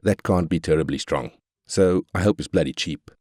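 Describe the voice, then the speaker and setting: A man's voice: sits low, moderate pace, a little mellow, no room sound, clean studio sound. A man playing a ruthless, arrogant corporate tycoon, brash and cynical, in scripted video game lines. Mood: irritated, callous. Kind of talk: scripted game character quotes.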